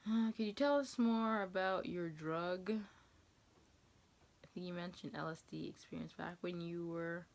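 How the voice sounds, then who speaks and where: A young American woman in her twenties with a lowish voice full of creak. She talks casually to her viewers, reading questions and answering them in a rambling, hesitant way, as if thinking out loud. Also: unhurried, slight background noise. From New England, USA. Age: 20s.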